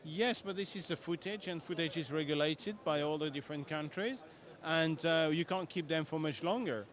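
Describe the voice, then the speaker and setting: A man's voice: a little high, moderate pace, a bit bright. A man with non-native phrasing giving an emphatic product demo at a trade show stand. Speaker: software demonstrator.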